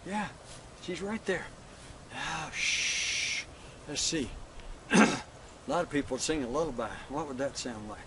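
A folksy frontier cowboy voiced by a man talks in a homespun drawl. His voice sits a little high for a man. A faint hiss runs underneath it. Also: unhurried, long pauses, close to an everyday voice. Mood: tender. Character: folksy frontier cowboy, new father, flustered. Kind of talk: one-man stage monologue.

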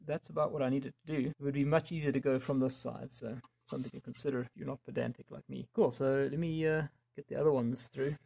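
A man giving casual hands-on DIY commentary, muttering and thinking aloud as he works, with a gravelly, slightly husky voice. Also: mid-range voice, fairly even intonation, quick pace, hardly any pauses, mellow. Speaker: DIY video maker. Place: indoors in a home room.

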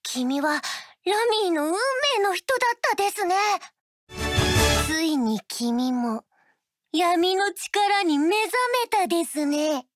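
A woman's voice: sits high, expressive, takes it slowly, dry recording. A woman voicing a cute, mischievous magical girl for a video game, with quirky childlike sentence endings. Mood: impish. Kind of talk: video game character voice clips. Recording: loud game music behind.

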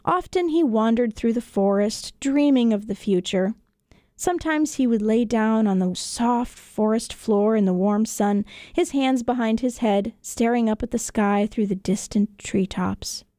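A woman storyteller reading children's tales in an expressive audiobook narration style. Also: on the high side, measured pace, no echo, studio quality. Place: radio studio.

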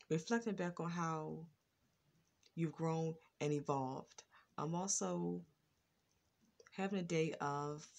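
A woman's voice: sits low, vocal fry, darkish tone. A woman vlogger talking casually to her phone camera, stuttering and restarting her words. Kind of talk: casual reaction vlog. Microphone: phone front camera.

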